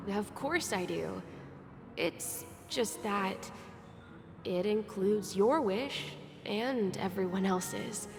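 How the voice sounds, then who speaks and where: A woman voicing a gentle, thoughtful young boy in a game, soft, tender and measured. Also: mid-range voice. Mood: tender, earnest. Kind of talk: scripted game voice acting. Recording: long reverb under music.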